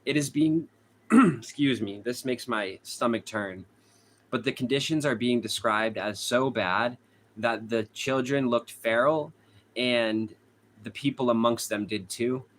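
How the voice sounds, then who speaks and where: A man's voice: mid-range voice, measured pace, a little hiss or hum. A young man with a Boston accent hosting a casual true crime livestream, chatting with viewers, reading their comments aloud and laughing often. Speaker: true crime channel host. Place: hot room at home.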